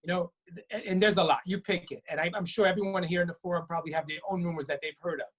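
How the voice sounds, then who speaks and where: A woman doctor from Brooklyn talks through her answers in a slightly low, husky voice. She explains earnestly and often restarts her sentences as she goes. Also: fairly even intonation, very fast. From Brooklyn, New York. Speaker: physician guest. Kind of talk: conversational interview answers. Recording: web talk show.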